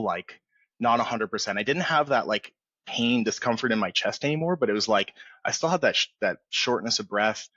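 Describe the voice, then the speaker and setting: A man in his forties, a podcast guest telling his story in a relaxed, rambling way full of fillers and restarts. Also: on the low side, dry recording, lo-fi sound. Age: 40s. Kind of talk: candid storytelling in a podcast interview.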